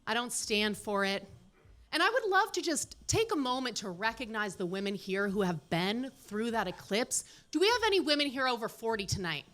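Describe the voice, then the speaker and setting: A woman's voice: a little high, very animated, normal speed, background noise. A woman in her late thirties performing a stand-up set at a comedy club, delivering dry, self-deprecating punchlines. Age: 30s. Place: comedy club stage with live audience.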